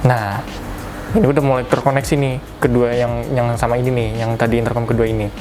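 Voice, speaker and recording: Casting: young adult male, medium pitch, informal product-tutorial delivery with colloquial tags and self-corrections, over a clear music bed. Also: level tone, fast talker. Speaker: product reviewer giving a tutorial. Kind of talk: casual step-by-step tutorial.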